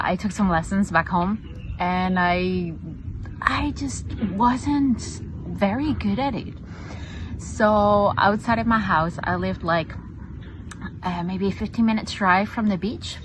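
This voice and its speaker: A woman, a real estate agent, telling a personal story in a relaxed way with frequent fillers. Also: mid-range voice, takes it slowly, background noise. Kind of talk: casual storytelling.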